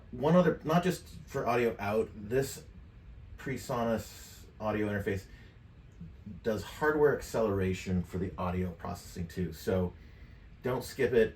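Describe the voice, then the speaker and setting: A man's voice: lowish voice, darkish tone, a little hiss or hum. A middle-aged American man giving a casual tutorial demonstration. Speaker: tutorial presenter. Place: furnished game room.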